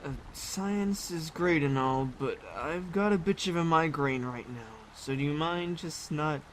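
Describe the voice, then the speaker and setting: A woman playing an irritable, wounded teenage boy in an audio drama, curt, halting and defensive. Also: sits low, slight background noise, poor audio. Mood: irritable, weary.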